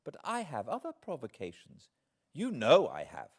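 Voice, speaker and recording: A man voicing a spirited, indignant young English gentlewoman with an emphatic, articulate, accusing delivery in an audiobook. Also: medium pitch, wildly swooping pitch, normal speed, creaky, breathy edge, voice pushed up, full character voice. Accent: British.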